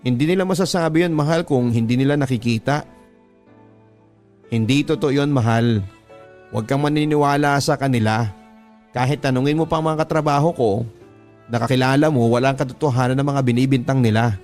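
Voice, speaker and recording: A man's voice: mid-range voice, brisk, pauses often, darkish tone, soft background music, natural voice. A man with a Filipino accent voicing a young, insistent, sweetly deceitful girlfriend in a radio drama. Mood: insistent denial.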